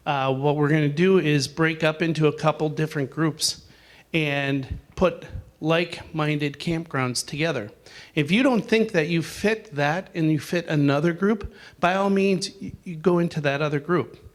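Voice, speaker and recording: A man leads an informal group discussion in a meeting room. His voice sits a little higher than most, and he rambles along with fillers and restarts. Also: moderate pace.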